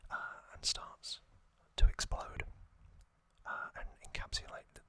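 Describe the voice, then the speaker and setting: A man whispering as an ASMR host, telling a story softly in short, halting phrases. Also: no room sound. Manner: soft, unhurried, halting delivery in short phrases.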